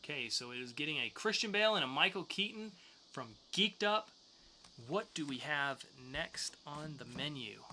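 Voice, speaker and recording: A man's voice: fairly high pitch, animated, moderate pace, sharp tone, a little hiss or hum. A man co-hosting a podcast, giving reviews in a casual, conversational way. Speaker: podcast co-host reviewing comics.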